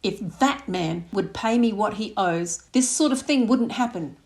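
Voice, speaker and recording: A woman voicing a smooth, self-pitying young man in an audiobook, indignant and self-justifying. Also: medium pitch, lively intonation, a little slow, darkish tone. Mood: offended.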